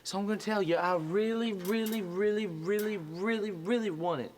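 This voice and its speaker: An actor playing a persistent, none-too-bright small-town loan seeker with an insistent, pleading way of talking. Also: male voice, high voice, normal speed, slight background noise, lightly acted.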